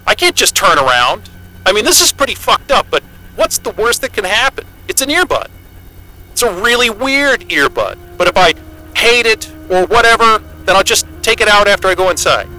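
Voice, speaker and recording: A man's voice: high-pitched, big pitch swings, normal speed, pushed and bright, exaggerated voice, low-quality recording. A man acting in an audio drama as a defiant, foul-mouthed astrophysicist with a brash, skeptical manner. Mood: uneasy, resolved.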